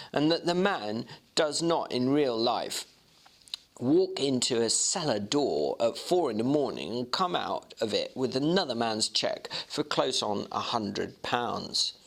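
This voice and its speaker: A man acting out a worldly Victorian gentleman in a storybook reading, recounting a shocking incident. He is vivid and emphatic, his medium-pitched voice swinging freely up and down. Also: gravelly, heavily acted. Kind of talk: dramatic audiobook reading.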